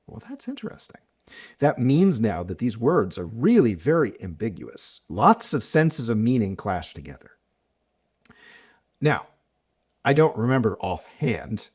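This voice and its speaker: A man's voice: medium pitch, darkish tone, husky. A middle-aged man, a mathematician being interviewed, explaining ideas thoughtfully with frequent restarts and examples. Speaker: mathematician guest. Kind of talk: academic podcast interview.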